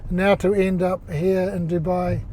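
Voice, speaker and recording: A man with a New Zealand accent, an older sports executive chatting as an interview guest, with lots of "um"s, pauses and restarts. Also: sits high, level tone, dark tone, slight background noise. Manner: halting, many fillers and restarts. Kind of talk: casual interview chat.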